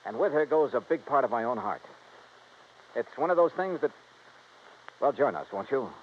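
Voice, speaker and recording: A tough but steady male detective played by a radio actor, wry and expressive, with a mid-range voice. The style is 1950s radio noir, heard on a muffled, noisy old recording. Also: medium pitch, normal speed, close to an everyday voice. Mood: somber. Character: wry, tough, steady male investigator narrating his case. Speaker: star of a radio detective series.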